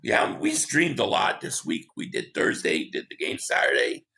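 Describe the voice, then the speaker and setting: Deep hoarse guy on a sports stream, voice going, gravelly, pitch jumps all over. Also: wildly swooping pitch, normal speed, sharp tone. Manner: rambling, opinionated, repeats himself. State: losing his voice. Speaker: sports talk livestream host. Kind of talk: casual livestream chat reading viewer comments.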